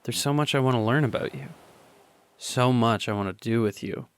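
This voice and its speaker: A young man playing an earnest, nervous teenage boy in love, speaking softly and stammering in a student radio drama. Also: mid-range voice, clean tone, lightly acted. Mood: wistful. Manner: halting, stammering, soft.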